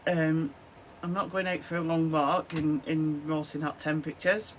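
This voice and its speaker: Hoarse Lancashire woman chatting to camera. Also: low-pitched, steady tone, moderate pace, a little hiss or hum. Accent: Lancashire English. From Lancashire, England. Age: 50s. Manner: rambling, with many "um, so yeah" fillers. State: hoarse, chesty cough, losing her voice. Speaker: craft vlogger. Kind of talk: casual chatty to-camera vlog. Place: small home craft room indoors. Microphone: camera at desk, close framing.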